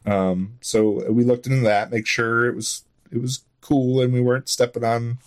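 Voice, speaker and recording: A man speaking as an interview guest in casual chat, telling things informally and a little haltingly. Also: mid-range voice, level tone. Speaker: interview guest, audio drama writer.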